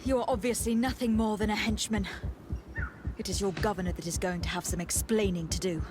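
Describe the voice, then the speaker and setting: A woman's voice: medium pitch, steady tone, brisk, background noise, lightly acted. An actress playing a defiant, commanding noblewoman envoy in a video game, with formal speech. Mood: stern, dismissive. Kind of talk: dramatic video game acting. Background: loud music.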